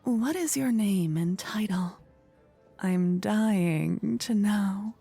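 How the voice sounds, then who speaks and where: Woman playing a regal queen, ASMR roleplay, coy and courtly, mellow soft tone, breathy whispers, faint music behind. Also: mid-range voice, extremely slow, heavily acted. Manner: languid, coy, flirtatious, courtly. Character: playful regal fantasy queen of a tiny kingdom. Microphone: close ear-to-ear microphone.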